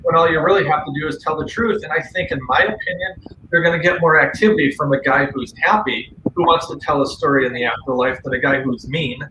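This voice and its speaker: A man's voice: fairly high pitch, quick pace, pushed and bright. A man talking casually and earnestly as a guest on a paranormal radio show, with firm opinions. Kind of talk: casual conversational talk. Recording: roomy echo, faint background noise.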